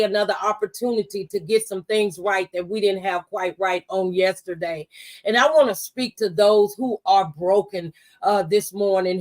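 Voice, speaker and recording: Female host for faith interview show; medium register, uplifting exhortation in a church testimony manner. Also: mid-range voice. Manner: encouraging, testifying.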